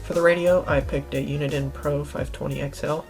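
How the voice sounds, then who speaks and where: A man with a slightly high voice narrates an instructional gear review. He explains each part plainly and methodically, like a how-to host. Music plays behind him. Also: steady tone, brisk. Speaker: host of a how-to video series.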